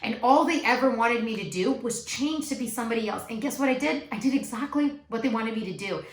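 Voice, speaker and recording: Raspy young woman, emphatic, in echoey room. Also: fairly high pitch, fairly quick. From Canada. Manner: emphatic, repeats points for stress. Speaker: actor and video host. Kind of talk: advice vlog monologue. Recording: echoey room, faint music.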